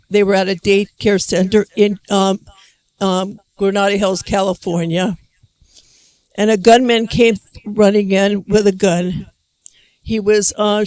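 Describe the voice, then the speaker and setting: A woman's voice: medium pitch, a little mellow. An older American woman giving a personal testimony at a conference, rambling and emotional with many restarts, speaking into a handheld microphone in a hall. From United States.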